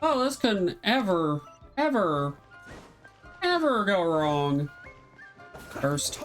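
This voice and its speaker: A woman with a lowish voice plays through a game and comments casually. She speaks very slowly and drily, with faint music behind her. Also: sing-song, low-quality recording. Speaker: game streamer.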